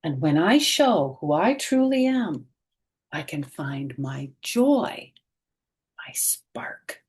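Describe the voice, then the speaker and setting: A middle-aged woman reading a picture book aloud to children, gently and expressively, pausing to explain things directly to her young listeners. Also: deep voice, sing-song, husky.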